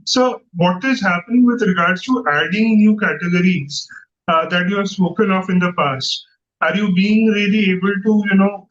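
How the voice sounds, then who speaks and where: A man's voice: high-pitched, a bit bright, highs cut off. A man calling in as an analyst, asking hesitant, rambling questions on an earnings call over an unclear line. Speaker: analyst caller.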